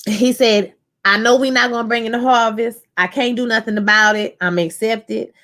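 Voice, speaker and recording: A woman's voice: fairly high pitch, moderate pace. A woman in her thirties from Louisiana with a New Orleans accent, chatting casually with her live-chat viewers while reviewing a TV episode. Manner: chatty, animated, talks to chat as "y'all". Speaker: show reviewer live-streaming.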